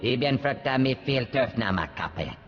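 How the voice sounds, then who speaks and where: A man's voice: mid-range voice, expressive, unhurried, natural voice. An actor playing an alien man in a game cutscene, declaiming dramatically in an alien tongue. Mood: dramatic. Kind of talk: video game cutscene acting. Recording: muffled, long reverb, music behind.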